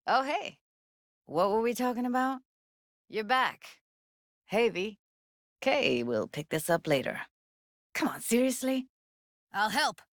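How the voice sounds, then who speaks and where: An actress playing a tough, sardonic but warm-hearted young woman in a video game, talking in casual, clipped slang. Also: fairly high pitch, expressive, takes it slowly, pauses often, no echo, clean studio sound. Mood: casual, wry. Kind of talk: naturalistic video game voice acting.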